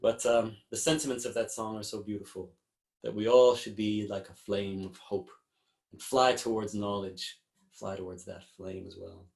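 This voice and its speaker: A man, a singer-songwriter, chatting warmly and apologetically to his audience between songs. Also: fairly low pitch, steady tone, moderate pace, vocal fry. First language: English. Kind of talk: live concert talk between songs. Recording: roomy with guitar music.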